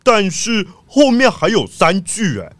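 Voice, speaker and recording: An actor playing an eager, slightly slow male police squad leader, excitable and blustering, in a children's audio drama. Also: mid-range voice, no room sound. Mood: questioning.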